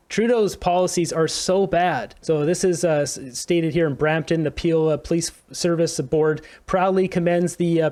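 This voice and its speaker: A man with a voice on the higher side hosts an informal news commentary show. He reads articles out and reacts in an indignant, conversational way. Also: a little mellow. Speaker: host of a political commentary show. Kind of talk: casual news commentary, reading articles aloud.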